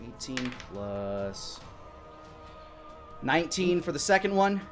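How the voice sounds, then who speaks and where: A man's voice: fairly high pitch, big pitch swings, takes it slowly. Male game master narrating over music. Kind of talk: improvised narration of a live tabletop game.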